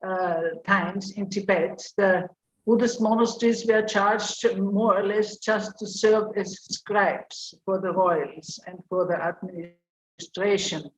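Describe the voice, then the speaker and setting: A woman from Austria giving an academic paper presentation over a video call, explaining in a measured way with some fillers. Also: mid-range voice, steady tone. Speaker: conference speaker. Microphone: computer mic on video call. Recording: roomy lo-fi video call audio with faint noise.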